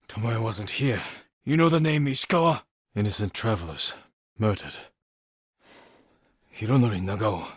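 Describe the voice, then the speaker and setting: A grave samurai warrior for a video game, husky and recorded close and dry. He is resolute and honour-bound, and he delivers his short, measured lines with solemn conviction in a somewhat low register. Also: no room sound. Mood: urgent, stern. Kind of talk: dramatic scripted game dialogue.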